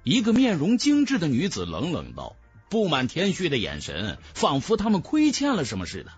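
A man's voice: on the high side, huge pitch swings, moderate pace, breathy edge, faint music behind. A man narrating a fantasy novel as a scripted audiobook, with emphatic, dramatic storytelling.

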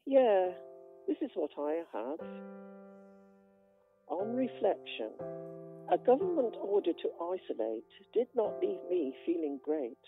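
A woman acts a modest elderly lady reciting in a radio play. Her measured delivery has lively pitch. The line is phone-thin, with clear music underneath. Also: narrow, tinny audio. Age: older.

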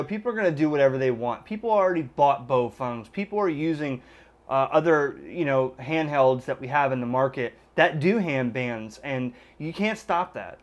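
A middle-aged American man giving a casual, unscripted product demonstration, halting as he thinks aloud and explains things. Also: a little high. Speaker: ham radio gadget reviewer. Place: indoors at a desk in a room.

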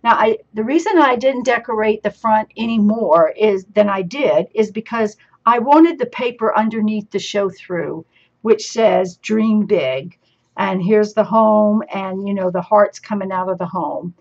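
A woman with a husky, slightly hoarse voice walks through her crafting project in a chatty, unhurried way, pausing on fillers as she explains each page. The sound is dull and lo-fi, picked up by a camera over a tabletop. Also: medium pitch. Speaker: crafting teacher. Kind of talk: casual show-and-tell demonstration. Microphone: camera overhead on tabletop.

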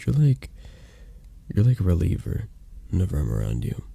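Man acting out flirty possessive mafia boss, low-pitched, dark mellow tone, hushed halting delivery, intimate ASMR roleplay, close-miked. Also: huge pitch swings, exaggerated voice. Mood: tender, flirty. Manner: hushed, halting, breathy, drifting into whispers. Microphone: close microphone, dry sound.

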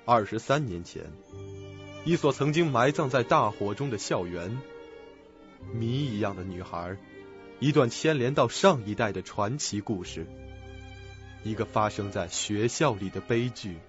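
A man's voice: fairly low pitch, lively intonation, measured pace. A man narrating a suspense radio drama from a script. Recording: dull lo-fi recording. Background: music.

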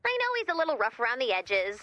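A woman's voice: fairly high pitch, wildly swooping pitch, quick pace, bright, forceful tone, lo-fi sound, heavily acted. A woman playing a wisecracking, eager, sarcastic female robot helper in a video game, quippy and playful with rambling asides. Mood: eager, persuasive. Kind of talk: scripted video game character dialogue.

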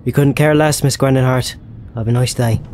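Dark-voiced, deadpan secret agent, played by a man. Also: mid-range voice, normal speed, faint music behind, natural voice. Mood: cool, dry. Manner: deadpan, clipped. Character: cool, dry, smug male intelligence agent. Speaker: actor in a sci-fi audio drama.

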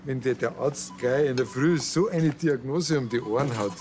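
A man's voice: mid-range voice, normal speed, darkish tone, soft background music, close to an everyday voice. An actor playing a self-pitying middle-aged village policeman with a Bavarian accent in a TV crime comedy. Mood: plaintive.

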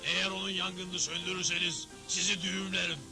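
Bossy western cartoon judge, super high pitched, threatening, music behind. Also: male voice, level tone, fairly quick, gravelly. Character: bullying, stubborn frontier judge, cantankerous and boastful. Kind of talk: Turkish cartoon dub.

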